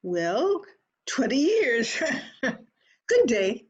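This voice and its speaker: Casting: female actor as dismissive wife at the door, radio comedy, highish lilting voice, muffled lo-fi sound. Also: big pitch swings, extremely slow, darkish tone, heavily acted. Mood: dismissive, coy. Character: married woman at her door, brushing off callers. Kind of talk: old-time radio comedy acting. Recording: dull, lo-fi remote recording.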